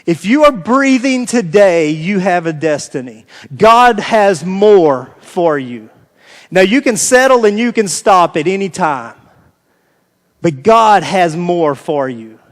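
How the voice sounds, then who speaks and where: An American man delivers a sermon in a notably high register for a male voice. His phrasing is informal and conversational, circling back on phrases to drive them home. The sound carries the long reverb of a large hall. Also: expressive, takes it slowly. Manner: informal, repeats phrases for emphasis. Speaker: pastor preaching to a congregation. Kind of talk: conversational sermon with scripture reading. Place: large reverberant room, preaching live.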